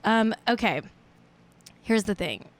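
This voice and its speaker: A woman's voice: medium pitch, expressive, takes it slowly. A woman in her late twenties hosting a live stream show, chatting in a rambling, playful, self-mocking way. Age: 20s. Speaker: show host. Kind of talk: casual livestream chat.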